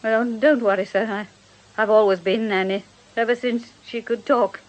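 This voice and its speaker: A woman's voice: fairly high pitch, steady tone, normal speed, close to an everyday voice, poor audio. A woman playing an elderly housekeeper and former nanny in a radio drama, devout, bitter and grieving, with fervent righteousness and a servant's deference. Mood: bitter, anguished. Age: older.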